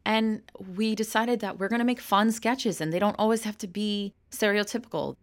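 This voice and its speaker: A woman's voice: mid-range voice, steady tone, normal speed. A woman in her thirties, an actor and comedian, answering interview questions frankly and laughing easily. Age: 30s.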